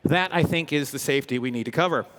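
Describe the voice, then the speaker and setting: A man hosting a live event as a comedian, speaking in an upbeat, energetic, joking way with playful mock boasts. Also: fairly high pitch, quick pace. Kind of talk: humorous live stage presentation. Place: large indoor event hall, live audience. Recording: echoey big hall, long reverb.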